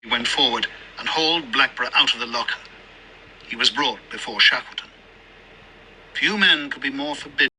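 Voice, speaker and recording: A man reading scripted audiobook narration in a measured storytelling style. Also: mid-range voice, sharp tone, slight background noise, rough recording.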